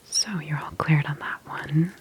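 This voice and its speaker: Soft breathy whispering lady doing asmr doctor roleplay, really slow, gentle and reassuring. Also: deep voice. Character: friendly sci-fi medical examiner, adult woman. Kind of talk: ASMR personal-attention roleplay.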